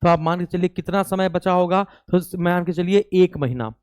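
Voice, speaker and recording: A man speaks as an online coaching teacher in a crisp, clean voice. The recording is close and dry. He explains informally to students, repeating key phrases and checking that they follow. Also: sits high, moderate pace, no echo. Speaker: teacher and presenter of an exam-coaching channel. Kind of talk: informal exam news update to students.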